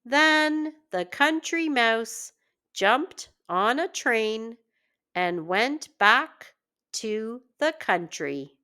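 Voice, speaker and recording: A woman teacher reading a children's story aloud with deliberate, word-by-word phrasing for early readers. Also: a little high, animated, bright, forceful tone, clean tone. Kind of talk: children's story read-aloud, scripted narration.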